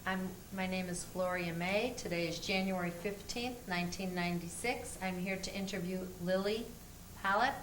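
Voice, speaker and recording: Calm woman interviewer, lowish voice. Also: moderate pace, slight background noise, rough recording. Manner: calm, plain, methodical questioning. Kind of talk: oral history interview questions.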